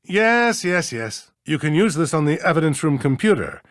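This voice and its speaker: A busy, condescending official in a mystery video game. His delivery is clipped and dismissive, with a lively swing in pitch and a very dry sound. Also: normal speed, dry recording. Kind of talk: video game dialogue acting.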